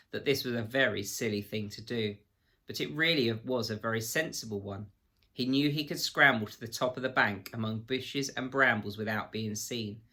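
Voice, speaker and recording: A man narrating a children's storybook in an expressive, measured storytelling manner, like a teacher reading to pupils. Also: mid-range voice.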